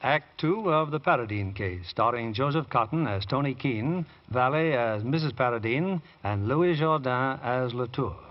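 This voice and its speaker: A man's voice: medium pitch, lively intonation, normal speed, hardly any pauses. A man narrating as host of a radio drama in scripted 1940s broadcast style. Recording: dull old radio recording.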